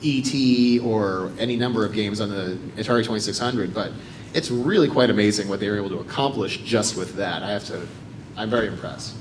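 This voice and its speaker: A man's voice: lowish voice, brisk, noisy background. An American man speaking on a convention panel, talkative and explanatory, with frequent fillers. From United States.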